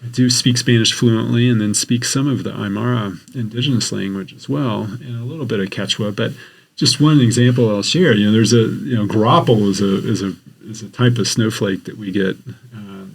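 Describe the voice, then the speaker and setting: A man with a husky, gravelly voice talks through his expedition experiences in an earnest, explanatory way. He speaks like a professor in a relaxed podcast interview, stopping now and then to rephrase. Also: fairly low pitch, normal speed, poor audio. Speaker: geography professor and expedition researcher.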